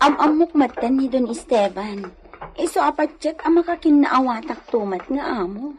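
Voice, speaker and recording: Woman acting nervous new maid, high voice, radio play, faint noise. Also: animated, normal speed. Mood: uneasy. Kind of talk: Ilocano radio drama acting.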